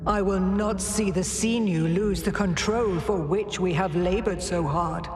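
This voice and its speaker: Slow-spoken elder alien sovereign played by an actor, game cutscene. Stern, zealous, commanding; cavernous reverb, loud music underneath. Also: mid-range voice, natural voice. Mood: stern, solemn. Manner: measured, grave, commanding. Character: aging, stern alien former ruler, solemn and zealous. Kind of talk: scripted dramatic game cutscene dialogue. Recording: long hall reverb over loud music.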